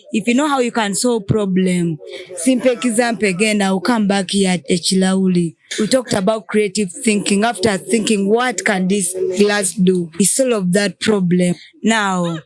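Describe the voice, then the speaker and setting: A woman's voice: medium pitch, darkish tone. A woman with a Ugandan English accent in a casual group conversation, explaining ideas through examples and answering her own questions.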